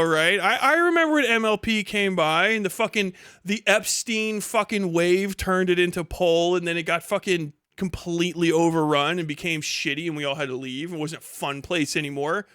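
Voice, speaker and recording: A man with a fairly high voice rants off the cuff to his livestream chat. He swears constantly and sounds mocking and animated. The sound is dry and close, as on a streaming mic. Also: clear, resonant tone, dry recording. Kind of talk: casual livestream rant to chat.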